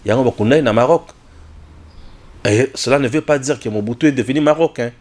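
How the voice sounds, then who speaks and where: A man's voice: mid-range voice, a little fast, a little mellow. A man with a Congolese accent gives informal political commentary, emphatic and repetitive, often asking rhetorical questions and switching between two languages. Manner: emphatic, repeats phrases, asks rhetorical questions.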